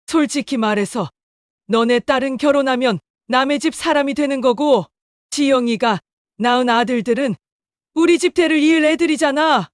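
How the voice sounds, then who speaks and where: An elderly, domineering mother-in-law played by a woman in an audio drama. Her voice sits high for a woman, recorded very dry and close, and she talks down to others in a scolding, dismissive way. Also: normal speed, dry recording, lightly acted. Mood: dismissive, lecturing. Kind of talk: Korean audio drama acting.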